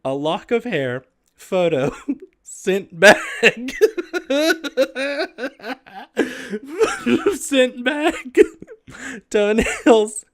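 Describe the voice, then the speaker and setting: A man's voice: very high voice, wildly swooping pitch, drawn-out words, long pauses, fairly bright tone. A man casually hosting a video and reading humorous letters aloud, easily amused and prone to giggling. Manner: giggly, often breaking into laughter while reading.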